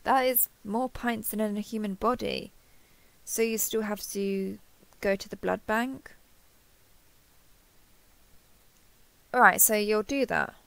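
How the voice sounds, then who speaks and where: A woman's voice: mid-range voice, fairly even intonation, a little slow, gravelly, no echo. A woman playing a kindhearted, awkward young woman who gets easily flustered, speaking hesitantly and earnestly in an intimate roleplay audio drama. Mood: hesitant, dry.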